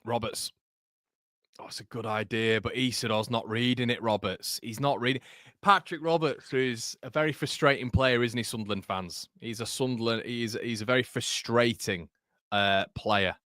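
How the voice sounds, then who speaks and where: A man's voice: medium pitch, normal speed, bright, forceful tone, no room sound, studio quality. A man with a Yorkshire accent, hosting a live football watchalong, calling the play and chatting with viewers in the live chat between moves. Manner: chatty, reads live chat, laughs at own jokes. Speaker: watchalong host and commentator. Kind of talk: live match watchalong commentary.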